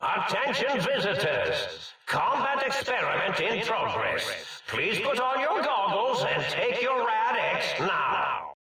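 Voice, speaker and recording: An actor voicing an eccentric, absent-minded mad scientist in a video game, a comically villainous figure who rants and rambles in a theatrical, grandiose way. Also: male voice, high-pitched, wildly swooping pitch, takes it slowly, bright, forceful tone, heavy reverb, recorded from a distance, full character voice. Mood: theatrical announcing.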